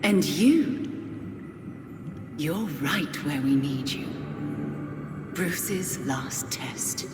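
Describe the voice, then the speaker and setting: An actress playing a cold, seductive villain leader in a video game, speaking with slow, imperious menace. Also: female voice, sits low, animated, full character voice. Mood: triumphant, commanding. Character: commanding female villain, cold, seductive leader of an assassin league. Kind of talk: video game cutscene voice acting. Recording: echoey hall reverb with loud music.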